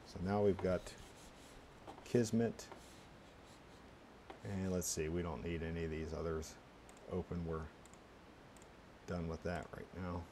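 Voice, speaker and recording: A man with a low-pitched voice talks through a screen tutorial. He is casual and unscripted, often halting to mutter his way through the next step. Some background noise sits under his voice. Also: steady tone. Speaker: tutorial presenter. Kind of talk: casual screencast walkthrough, thinking aloud.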